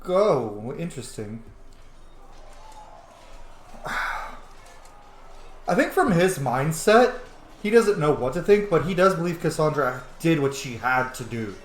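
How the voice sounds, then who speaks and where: A man's voice: on the high side, big pitch swings, slow pace, pauses often, a little hiss or hum. A man giving casual, rambling commentary while playing a roleplay game, chatty and full of fillers and asides. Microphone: camera setup at home. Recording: roomy echo.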